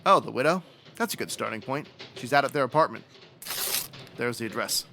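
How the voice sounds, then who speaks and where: An actor playing a matter-of-fact police sergeant in an old-time radio detective drama, with a dry, procedural, folksy way of talking. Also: male voice, mid-range voice, lively intonation, a little fast, gravelly, noisy background. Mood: speculating.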